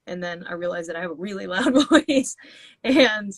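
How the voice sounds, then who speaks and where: A woman guest talks about her music in a loose, rambling way, often restarting her sentences. Her voice sits a little low. The sound is dry and close, like a video call. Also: animated, moderate pace, dry recording. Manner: rambling with many restarts and fillers. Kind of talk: casual interview chat.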